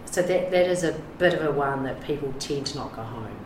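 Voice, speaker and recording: A woman's voice: extremely low pitch, expressive, brisk. A middle-aged woman, talking frankly about addiction recovery in an interview, full of fillers and restarts. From New Zealand. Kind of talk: candid interview talk. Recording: echoey room with background noise.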